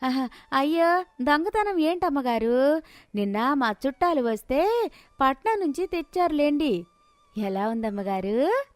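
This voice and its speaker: A woman voicing a deferential, slightly sly village housemaid with a meek, polite, drawn-out delivery. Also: sits high, sing-song, dry recording, pitched above the performer's own voice. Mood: meek, evasive.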